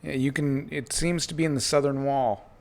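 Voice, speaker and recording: A man narrating a tabletop game as game master, improvising in halting phrases with pauses mid-sentence. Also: medium pitch, steady tone, darkish tone.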